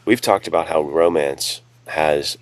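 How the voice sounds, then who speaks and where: A man's voice: extremely low pitch, unhurried, no room sound. A man co-hosting a podcast, speaking in a casual, conversational way. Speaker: podcast co-host and writer.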